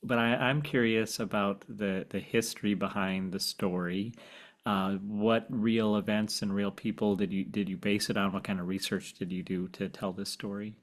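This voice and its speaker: A man's voice: on the low side, steady tone, normal speed. A man hosting a podcast, interviewing a guest in a conversational style.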